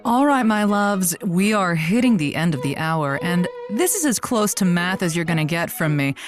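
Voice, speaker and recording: A woman's voice: lowish voice, expressive, moderate pace, music in the background, natural voice. A woman playing a blunt, teasing, motherly radio host who gives bawdy love advice in a conversational comedic audio drama. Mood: upbeat, wry.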